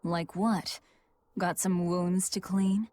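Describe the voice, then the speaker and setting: An actress playing a cold, guarded young female demon fighter with a curt, deadpan manner in a video game. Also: on the low side, slow pace, slight background noise. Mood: dry. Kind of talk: English video game voice acting.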